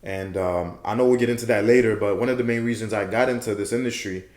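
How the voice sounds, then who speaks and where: A young man from Haiti speaks in a low voice with a relaxed, affirming manner and often repeats his points. The room echoes noticeably around him. He sounds like a guest in an easygoing podcast conversation. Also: quick pace. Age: young adult. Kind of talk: casual interview chat. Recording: roomy echo.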